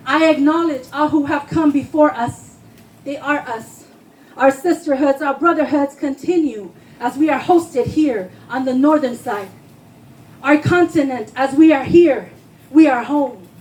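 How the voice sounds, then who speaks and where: High-voiced woman performing impassioned spoken word. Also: measured pace, darkish tone, rough recording. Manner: impassioned, declamatory, emphatic. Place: live poetry reading before a responsive audience.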